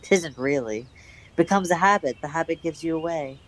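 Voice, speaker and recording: A woman playing a bold, secretive young rebel lover in a radio play, brisk and teasing. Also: low voice, lightly acted, poor audio. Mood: teasing, intimate.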